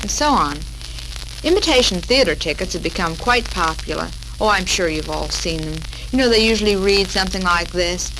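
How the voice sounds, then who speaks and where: A young American woman hosting a scripted 1940s women's radio programme, chatty and witty with carefully phrased delivery. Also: medium pitch. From United States. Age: young adult. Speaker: radio host. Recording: noisy vintage 1940s radio recording.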